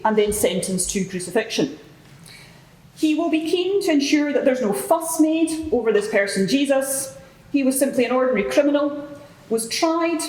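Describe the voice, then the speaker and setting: A woman playing a formal, orderly court clerk who briefs the public in a measured, official way. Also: on the high side, a little mellow, lightly acted. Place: large courtroom with audience. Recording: echoey hall, faint background noise.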